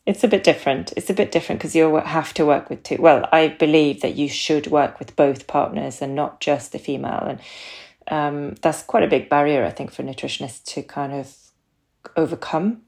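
A woman's voice: low-pitched, steady tone, normal speed, vocal fry, a little mellow. A middle-aged woman from Sweden with a Swedish accent, a guest speaking casually in an interview with frequent hesitations and restarts. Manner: hesitant, many ums and restarts. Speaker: guest, nutritional therapist.

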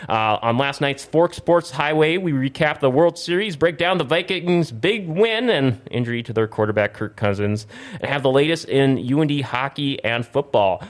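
A man with a medium-pitched voice hosts a casual gaming podcast. He rambles along informally, tripping on words and fixing them as he goes. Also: lively intonation, normal speed. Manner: rambling, stumbles over words and corrects himself. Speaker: podcast host. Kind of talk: casual video game talk show with plugs and sponsor reads.

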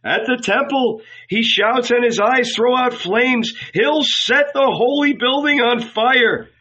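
Man doing a troubled old rabbi, pitched up. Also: slow pace, bright, forceful tone, breathy edge, lo-fi sound, exaggerated voice. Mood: agitated. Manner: trembling, fretful. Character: troubled old rabbi, trembling, fearful father.

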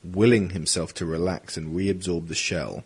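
A man with a husky voice reading dramatic scripted narration for an audio drama. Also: sits low, steady tone, normal speed.

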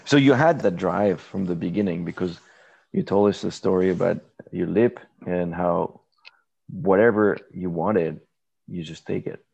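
A man interviewing a guest in a relaxed, encouraging way, prompting him with questions and frequent murmurs of agreement. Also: low-pitched, a little slow, vocal fry, breathy edge, muffled recording. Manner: encouraging, with many backchannel murmurs. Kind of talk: conversational interview.

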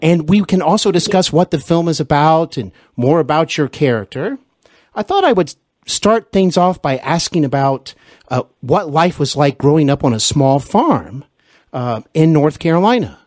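Male interview host, courteous and effusive, animated intonation, breathy husky edge, close dry sound with light music. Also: fairly high pitch, normal speed, no room sound. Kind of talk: scripted introductions and polite interviewing.

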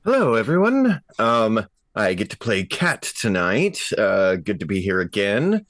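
A man's voice: fairly low pitch, huge pitch swings, a little slow, a bit bright, no room sound, studio quality. A man chatting casually as a player on a tabletop roleplaying podcast. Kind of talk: casual self-introduction chat.

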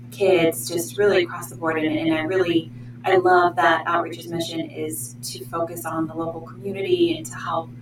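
Woman therapist, lowish voice, earnest; roomy distant mic with a steady hum. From Pennsylvania, USA. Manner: earnest, hesitant with fillers. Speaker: outpatient therapist. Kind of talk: casual interview answers. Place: library meeting room.

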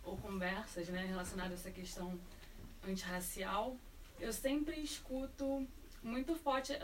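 A woman's voice: a little high, a bit bright. Brazilian woman, distant and noisy recording. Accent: Brazilian Portuguese. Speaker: audience member, master's student. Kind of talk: informal spoken question at a public talk. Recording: distant microphone, clear background noise.

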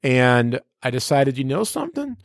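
A man's voice: medium pitch, big pitch swings, normal speed, gravelly, no room sound, clean studio sound. A man who works as a radio personality, chatting casually as an interview guest in a slangy, playful, showman-like and rhetorical way.

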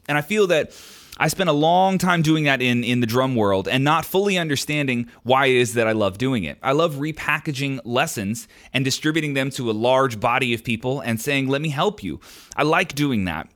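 A man's voice: medium pitch, a bit bright. A young adult man hosting his own podcast, answering listener questions in a candid, rambling way with frequent ums and restarts. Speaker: podcast host. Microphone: podcast microphone.

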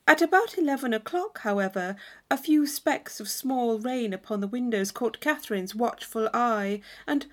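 A woman reading a classic novel aloud as an audiobook narrator, in expressive scripted storytelling. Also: a little high, moderate pace. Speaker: audiobook reader.

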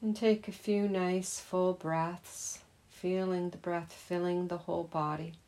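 A woman gently leading a guided meditation as a teacher, in a soothing, unhurried manner. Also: on the low side, steady tone, rough recording.

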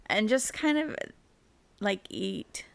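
A woman's voice: medium pitch, lively intonation, slow, creaky, a bit bright, dry recording. A woman from Minnesota, a guest in a casual chat, talking easily and laughing often.

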